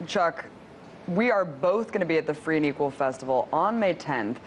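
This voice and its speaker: A young woman hosting a TV interview, brisk and upbeat as she puts pointed questions to her guest. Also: deep voice, expressive, slight background noise, rough recording. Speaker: TV host.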